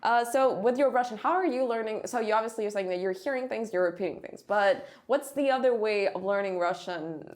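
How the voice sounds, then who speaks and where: A woman's voice: mid-range voice, brisk, few pauses. Woman interviewer asking easy questions. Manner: friendly, prompting questions. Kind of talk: casual interview chat.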